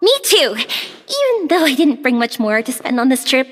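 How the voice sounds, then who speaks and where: An actress playing an excitable, eager, girlish little helper in a video game, bubbly and over-eager. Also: sits high, moderate pace, long reverb tail. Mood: excited. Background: game music.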